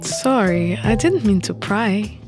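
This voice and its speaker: A woman's voice: medium pitch, lively intonation, slow. A woman voicing an outspoken, questioning young female alchemist in a visual novel. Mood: flustered, indignant. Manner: questioning, direct. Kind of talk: visual novel character reading. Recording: music under the voice.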